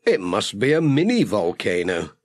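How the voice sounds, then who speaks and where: A man's voice: fairly low pitch, wildly swooping pitch, normal speed, pitched below the performer's own voice. A man voicing a friendly, easygoing village postman in a children's audiobook, homely and good-humoured. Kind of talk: children's audiobook character reading.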